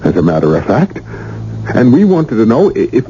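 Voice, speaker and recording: A man's voice: mid-range voice, huge pitch swings, moderate pace, mellow. A man playing a nervous, earnest young husband in a radio drama, speaking hesitantly. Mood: nervous, troubled. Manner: hesitant, stammering under strain. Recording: dull, hissy old radio recording.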